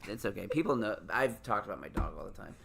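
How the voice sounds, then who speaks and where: A man's voice: on the low side, animated, quick pace, vocal fry, fairly bright tone, slight background noise. Rambling, joking male podcast host. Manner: rambling, self-deprecating, joking. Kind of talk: casual interview chat.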